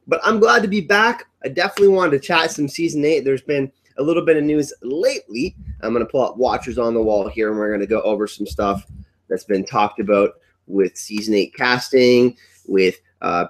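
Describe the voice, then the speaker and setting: A congested young man with a cough, hosting a casual livestream from home, upbeat and rambling as he greets his chat. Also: mid-range voice. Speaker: livestream host. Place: at home indoors.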